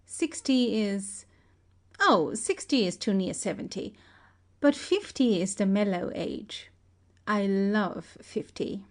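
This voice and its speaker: A woman's voice: mid-range voice, animated, slow pace. A woman playing a decided, romantic young society lady in a dramatic audiobook reading. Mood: fond, assured.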